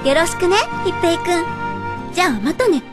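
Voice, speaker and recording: A woman's voice: very high voice, expressive, moderate pace, music in the background. A woman playing a sweet, dreamy, determined young ant in a radio drama, girlish and earnest. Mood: friendly. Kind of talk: cartoonish radio drama acting.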